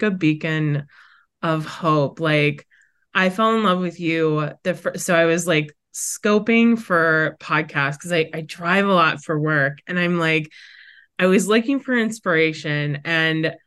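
A woman's voice: fairly low pitch, slow pace, fairly bright tone, clear, resonant tone. A bubbly, talkative woman, chatting as a podcast guest and filling her sentences with "like.". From Wisconsin, USA. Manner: bubbly, chatty, lots of "like" fillers. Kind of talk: casual interview chat.

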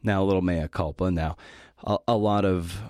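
A man's voice: low-pitched, steady tone, normal speed, darkish tone, dry recording, clean studio sound. A middle-aged man hosting a podcast, giving a scripted talk with casual asides in a folksy, encouraging manner.

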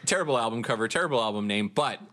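A man's voice: medium pitch, lively intonation, racing, a little hiss or hum. A man co-hosting a casual music podcast, joking and introducing each track with band trivia. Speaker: podcast co-host presenting songs. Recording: loud music playing underneath.

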